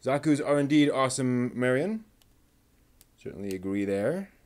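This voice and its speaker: A man's voice: medium pitch, expressive, normal speed, a little mellow. A man building a model kit on a live stream, muttering to himself and chatting casually with viewers as he works.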